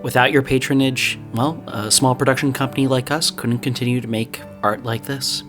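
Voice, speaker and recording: A man's voice: medium pitch, steady tone, normal speed, fairly bright tone. A man hosting a podcast, reading out an introductory announcement to listeners. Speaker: podcast host. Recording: music behind the voice.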